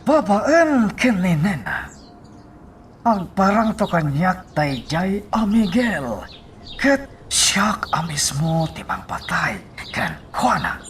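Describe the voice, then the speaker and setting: Woman acting a menacing, animated villainess. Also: on the low side, slow pace. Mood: vengeful. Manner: commanding, menacing. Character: cold, scheming villainess plotting a killing. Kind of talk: radio drama acting. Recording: loud noise behind the voice.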